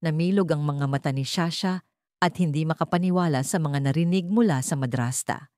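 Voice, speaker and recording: A woman's voice: low voice, a little fast, darkish tone, no room sound, studio quality. A woman reading scripted dramatic fiction as a storyteller. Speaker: story narrator.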